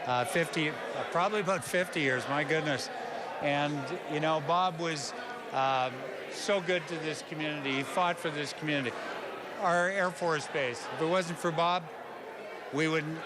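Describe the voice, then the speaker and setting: An older man giving a spontaneous remembrance, repeating phrases as he searches for words. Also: fairly high pitch. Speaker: interviewee paying tribute. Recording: outdoor recording with clear urban noise.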